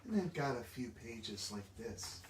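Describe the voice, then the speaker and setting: A man's voice: medium pitch, sing-song, unhurried, slight background noise. A man reading a children's book aloud, sometimes stumbling over long words. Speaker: book reader. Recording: echoey room, distant microphone.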